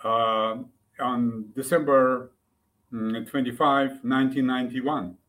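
An older man speaks English with an Eastern European accent, at a medium male pitch. He answers as an interview guest, reflective and hesitant, leaving long gaps as he forms his sentences. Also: fairly even intonation, fairly bright tone, rough recording. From Ukraine. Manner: halting, hesitant delivery with long pauses.

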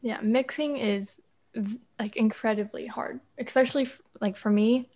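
Friendly woman host with a slightly high voice. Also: fairly even intonation, normal speed, a little mellow. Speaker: podcast host. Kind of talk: casual interview questions.

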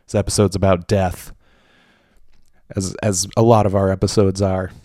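Low, flat-voiced man rambling on a podcast. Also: moderate pace, no room sound, clean studio sound. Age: late 20s. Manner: rambling, many fillers and restarts. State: jittery from coffee. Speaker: podcast host. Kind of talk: casual solo podcast talk.

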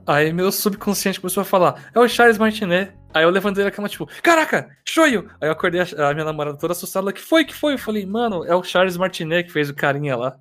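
A man co-hosting a casual film review podcast, animated and acting out quotes as he tells stories. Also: a little high. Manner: animated storyteller, acts out quotes in raised voice. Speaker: podcast co-host.

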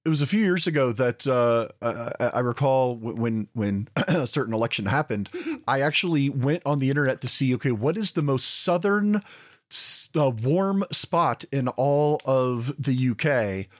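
A man's voice: mid-range voice, animated, moderate pace, no echo. American man chatting in a dry studio sound. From United States. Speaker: podcast panellist. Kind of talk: casual chat.

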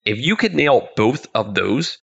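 A man's voice: mid-range voice, very animated, unhurried, gravelly, husky, muffled recording. Congested, crackly-voiced male podcast host. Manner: upbeat, conversational, with frequent word repeats. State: congested, slightly nasal from illness. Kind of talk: solo podcast monologue.

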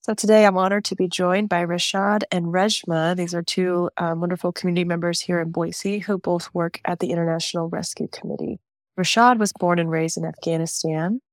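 A woman's voice: fairly low pitch, no echo, clean studio sound. A woman hosting a podcast, introducing her guests in a friendly, conversational way. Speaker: podcast host.

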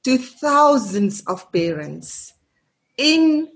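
A woman's voice: high-pitched, sing-song, extremely slow. A woman in her fifties from South Africa with a South African accent, Afrikaans as her first language, a therapist guest earnestly recounting her career in an interview. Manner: earnest, repeats words while searching for them. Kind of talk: reflective interview answers.

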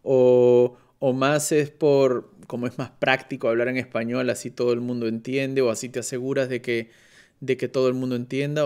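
A man interviewing a guest in a relaxed chat, hesitant and full of fillers and restarts. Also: medium pitch, creaky, clear, resonant tone. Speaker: interviewer and show moderator.

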